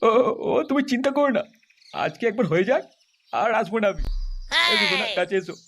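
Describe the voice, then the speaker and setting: Man as a cartoon secret lover, cajoling, sweet talk, high pitch, noisy background. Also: sing-song, fairly quick. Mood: coaxing, amorous. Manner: coaxing, sweet-talking. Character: smooth-talking secret lover, coaxing and cajoling. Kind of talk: crude comedy cartoon voice acting.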